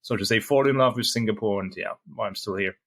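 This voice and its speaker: A man's voice: fairly low pitch, quick pace, vocal fry. A middle-aged man from Germany, a German native speaker, talking as an interview guest in a hesitant way with many fillers and restarts. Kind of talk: casual interview chat.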